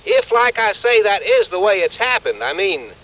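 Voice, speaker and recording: An American man acting a young, folksy insurance agent in a 1950s radio drama, talking in a halting, rambling, informal way. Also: sits high, big pitch swings. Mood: worried, rambling. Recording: muffled, noisy old radio recording.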